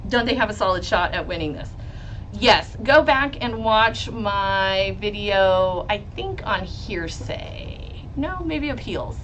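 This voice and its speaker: A woman lawyer with a loud voice, hosting a live stream about a trial and reading chat questions. She talks bluntly, swears freely and laughs often. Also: medium pitch, animated, slow, a little hiss or hum, rough recording. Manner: blunt and profane, with frequent laughs. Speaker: lawyer and legal commentator.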